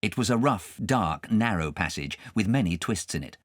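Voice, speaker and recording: A man narrating a storybook as a scripted audiobook, with dramatic, expressive storytelling. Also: deep voice, moderate pace, dry recording, studio quality.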